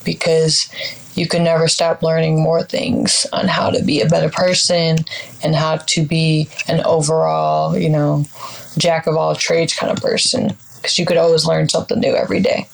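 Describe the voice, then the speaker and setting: A young woman in her twenties talks things over as a podcast guest, her voice sitting on the low side with plenty of gravelly fry. She rambles in an upbeat, reflective way, full of fillers. The tone stays casual and open. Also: completely flat delivery, moderate pace, a little hiss or hum, poor audio. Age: 20s. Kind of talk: casual interview chat.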